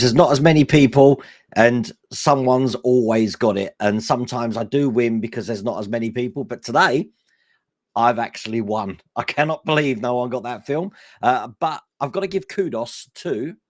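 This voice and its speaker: A man hosting a casual live stream, his voice hoarse and worn, chatting enthusiastically with lots of fillers. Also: medium pitch, no room sound, studio quality. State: hoarse, voice going.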